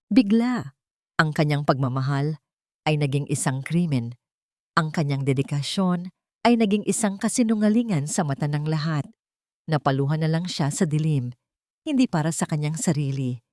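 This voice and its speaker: A woman's voice: deep voice, darkish tone, dry recording, clean studio sound. A woman narrating a dramatic scripted story with solemn, emotive delivery.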